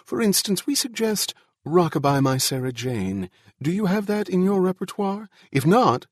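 A man's voice: medium pitch, animated, a little slow, slightly rough, dry recording, clean studio sound. A man voicing a genial, rotund male government official in an audiobook, bubbly and officious.

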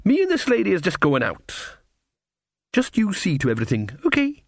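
A woman's voice: on the low side, wildly swooping pitch, normal speed, vocal fry, a little mellow, no echo, studio quality, pitched above the performer's own voice, heavily acted. A man voicing a proud, knowing middle-aged woman cook with folksy, emphatic dialect for a storybook. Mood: brisk, commanding.

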